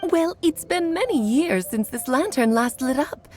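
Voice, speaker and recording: An animated, theatrical witch from a video game. She is coy and motherly, telling things like a grand old storyteller. Her pitch moves freely, and soft music sits behind her. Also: a little slow. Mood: coy, teasing. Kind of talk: video game character acting.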